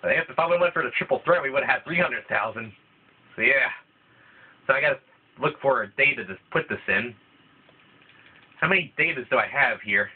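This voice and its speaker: Male let's-player, rambling, a bit stuffy from a cold; mid-pitched, lo-fi tinny recording. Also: animated, fairly quick, long pauses, husky. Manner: rambling, with frequent restarts. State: voice thickened by a cold. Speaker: let's play gamer. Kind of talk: casual gameplay commentary. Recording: tinny, phone-like lo-fi mic. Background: video game music playing.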